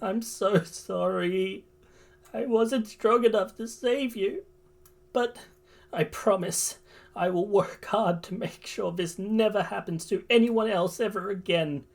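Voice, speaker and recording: Man playing a high-voiced distraught teenage boy. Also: takes it slowly, gravelly, full character voice. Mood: grieving. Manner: high, breaking, pleading. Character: distraught teenage boy hero, tearful and pleading.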